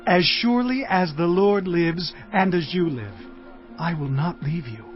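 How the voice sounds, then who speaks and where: An actor playing a devoted young prophet who speaks earnestly and with quiet firmness. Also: male voice, on the high side, lively intonation, a little slow. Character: loyal devoted young prophet, steadfast man. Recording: muffled audio under music.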